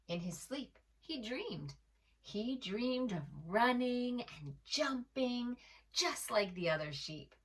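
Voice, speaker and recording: A woman storyteller reading aloud to preschoolers in an expressive way, pausing to address the young listener directly. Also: lowish voice, distant mic. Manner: slow, animated, addresses the young listener with questions. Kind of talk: expressive children's read-aloud.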